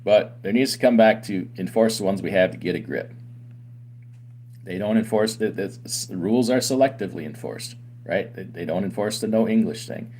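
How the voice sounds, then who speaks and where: A very low-voiced man makes his case forcefully, firing off rhetorical questions in an argumentative commentary. He runs a trucking company and talks straight to his viewers. A faint hum sits behind him. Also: normal speed. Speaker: owner of a trucking company and channel host. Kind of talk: opinionated commentary to camera.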